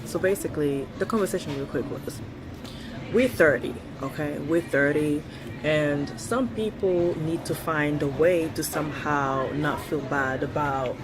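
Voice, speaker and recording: A woman in her thirties from Switzerland, whose first language is French, speaking with a Swiss French accent with a touch of British. She talks bluntly and chattily in a casual vlog, laughing often and swearing freely. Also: low voice. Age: 30s. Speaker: vlogger.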